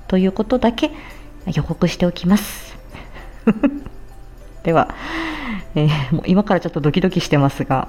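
A woman's voice: fairly low pitch, warm tone, muffled recording. A woman streamer chatting casually in a giggly, bashful, hesitant way as she makes announcements. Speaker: streamer and amateur voice-drama performer.

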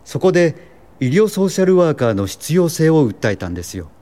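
Mellow, expressive male physician-lecturer. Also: a little high, fairly quick. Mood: earnest, reflective. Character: earnest, kindly American physician and lecturer, a reformer. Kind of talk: radio drama acting, measured lecturing.

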